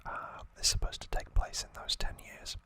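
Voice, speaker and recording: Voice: normal speed, bright, forceful tone. An ASMR host whispering an unscripted story recap in a hesitant, slightly wry way.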